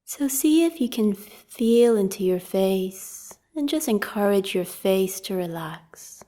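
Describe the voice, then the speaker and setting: A woman guides a meditation in a very slow, relaxed voice. She is calm and gentle, leaving long pauses between instructions. Her soft tone thins to a slight breathiness as phrases end, with a mellow, warm colour throughout. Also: medium pitch. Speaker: meditation teacher leading a guided practice.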